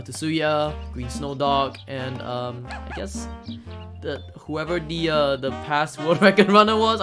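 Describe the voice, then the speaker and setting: A speedrunner from Singapore giving casual, rambling live game commentary in an excitable, giggly manner. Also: medium pitch.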